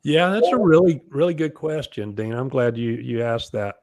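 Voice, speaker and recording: A middle-aged man with a booming bass-baritone voice, giving advice in a conversational interview and often repeating words as he goes. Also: moderate pace, darkish tone, no echo. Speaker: guest expert advising parents.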